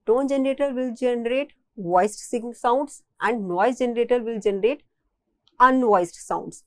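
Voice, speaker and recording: A woman's voice: on the high side, normal speed, no room sound. Indian-accented woman lecturing, dry close sound. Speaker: engineering lecturer. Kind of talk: technical lecture explaining a diagram.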